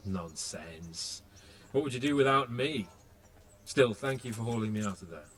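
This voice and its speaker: An actor playing a wounded but jovial young lord in a game, playful and teasing. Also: male voice, fairly low pitch, lively intonation, normal speed, lightly acted. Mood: teasing, grateful. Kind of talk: video game cutscene acting.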